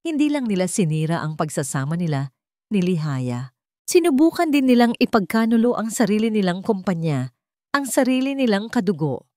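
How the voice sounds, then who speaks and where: A woman's voice: medium pitch, big pitch swings, moderate pace, dark tone, no echo, clean studio sound. A woman narrating a scripted romance drama in a solemn, dramatic storytelling manner.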